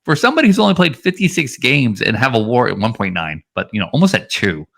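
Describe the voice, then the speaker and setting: A man's voice: mid-range voice, sing-song, fast, vocal fry, a bit bright. A man co-hosting a fantasy baseball podcast, giving casual analysis in a rambling way that stresses key points. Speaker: podcast co-host.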